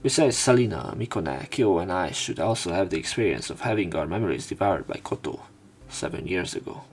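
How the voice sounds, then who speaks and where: A man reading visual novel text aloud as a let's play narrator, in measured short phrases. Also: sits low, expressive. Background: game music.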